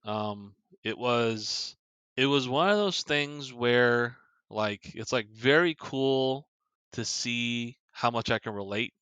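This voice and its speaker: A man's voice: mid-range voice, takes it slowly, pushed and bright, clean tone, no echo, highs cut off. A man co-hosting a casual movie podcast, talking in a rambling way with lots of fillers and restarts. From Korea. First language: Korean. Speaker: podcast co-host.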